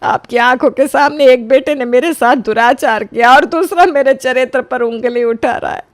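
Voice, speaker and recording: A woman's voice: sits high, moderate pace, no room sound, lightly acted. A woman playing a desperate, wronged young wife in a melodramatic audio story, pleading tearfully.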